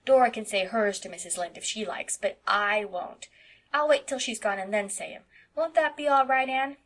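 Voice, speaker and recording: A woman's voice: moderate pace, close to an everyday voice. A woman voicing a mischievous eight-year-old farm boy who speaks bluntly and insistently. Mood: defiant, chattering. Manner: high, loud and insistent childlike delivery.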